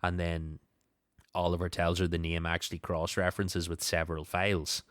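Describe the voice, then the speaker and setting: A man's voice: low-pitched, completely flat delivery, moderate pace, a bit bright, clear, resonant tone, no room sound. A man hosting a podcast in a casual, conversational style. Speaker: podcast host.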